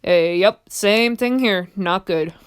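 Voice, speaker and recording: A woman voicing a quiet young male farm pony who drawls short answers. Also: mid-range voice, slow, clean tone, lightly acted. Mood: laconic. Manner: terse, drawling, few words.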